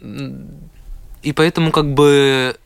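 An eighteen-year-old schoolboy from Latvia, answering interview questions with lots of hesitations. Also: male voice, medium pitch, flat delivery, takes it slowly, creaky, clear, resonant tone, no echo. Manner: hesitant, many filler sounds. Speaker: guest, schoolboy.

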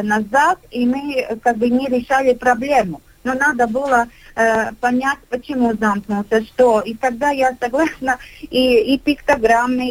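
A middle-aged woman, a kindergarten director, speaking on a radio discussion in a halting way, with many filler sounds. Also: fairly high pitch, rough recording. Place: radio studio.

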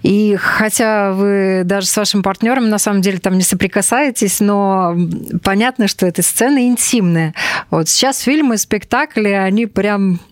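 A woman's voice: mid-range voice, moderate pace, no echo. A woman hosting a conversational interview, putting questions to her guests. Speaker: host interviewing young actors.

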